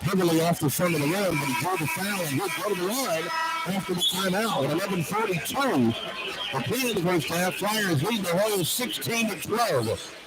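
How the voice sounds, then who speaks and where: High-voiced man calling basketball over loud noise. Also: animated, normal speed. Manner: clipped running description. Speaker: radio play-by-play announcer. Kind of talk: live basketball play-by-play commentary. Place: basketball arena broadcast position. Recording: very noisy arena radio broadcast. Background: loud arena ambience.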